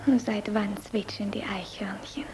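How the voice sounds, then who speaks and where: An actress in a German radio play, playing an ardent, dreamy young woman in love, wistful and breathy. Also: mid-range voice, little pitch movement, a little slow, a little mellow, close to an everyday voice. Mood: playful. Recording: noisy old radio play recording.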